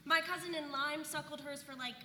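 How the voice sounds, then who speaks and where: Actress as bright, high-voiced gossipy young mother. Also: fairly even intonation, a little fast. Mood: chatty. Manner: gossipy, sarcastic. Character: chatty, fed-up colonial young mother and neighbour. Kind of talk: staged play reading, modern casual speech. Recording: echoey hall, faint noise.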